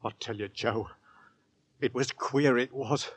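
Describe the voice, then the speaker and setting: A man's voice: mid-range voice, fairly even intonation, measured pace, husky, no echo. An actor playing a plain, devout working-class London husband and father in a 1940s radio drama. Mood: awed.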